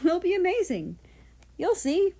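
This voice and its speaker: Woman doing sweet cheery young husband from a story, high pitched, super encouraging. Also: big pitch swings, measured pace, warm tone, heavily acted. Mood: cheerful, reassuring.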